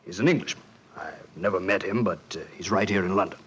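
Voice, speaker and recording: Casting: male actor as shady American tycoon, rapid-fire and blunt, low husky voice, muffled vintage radio sound. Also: slight background noise, natural voice. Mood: pushy, confident. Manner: blunt, fast-talking. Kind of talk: 1940s radio acting. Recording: dull 1940s radio recording.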